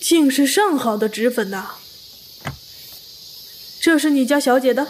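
A woman playing a shrewd, composed young gentleman detective in a period audio drama, with wry delivery. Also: sits high, expressive, unhurried, a little hiss or hum. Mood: curious, wry. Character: cool, shrewd young gentleman sleuth of ancient China. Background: faint music.

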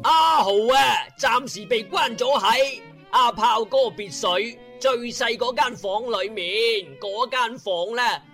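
Cantonese guy telling a comic radio story, sharp high voice, theatrical and slangy, drags out words. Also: faint music behind. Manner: theatrical, colloquial, with drawn-out words and rhetorical questions. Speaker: narrator of an internet radio drama. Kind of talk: animated comic storytelling narration.

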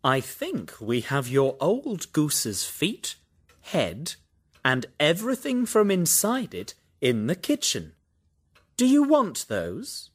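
An actor playing a brisk, eager detective in an audiobook, polite and chatty. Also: male voice, fairly high pitch, breathy edge, exaggerated voice. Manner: lively, sing-song, polite and apologetic. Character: brisk, eager middle-aged male detective. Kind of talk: audiobook character reading.

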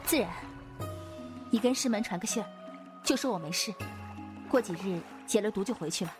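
A woman plays a young female Taoist fighter with a medium-pitched voice. She is easygoing and a little bashful, in a wuxia radio play with clear background music. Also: lively intonation, brisk, lightly acted. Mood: reassuring, placating. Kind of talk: Chinese wuxia audio drama acting.

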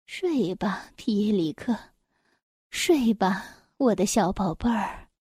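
A young storybook mother, tender and sorrowful, acted by a woman narrator. She speaks in a hushed, soothing murmur and lingers slowly over her words. The sound is dry and close. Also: mid-range voice, animated, breathy edge, dry recording, voice pushed down, full character voice. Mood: tender, drowsy.

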